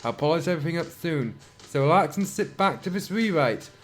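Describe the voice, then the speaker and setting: A man with a slightly high voice and a sore throat reads fan fiction aloud. He keeps cutting in with dry, sarcastic remarks and clears his throat a lot. Faint music plays softly underneath. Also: expressive, fairly quick. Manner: dry, mocking asides, frequent throat clearing. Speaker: channel host reading fan fiction. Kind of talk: dramatic reading with sarcastic commentary.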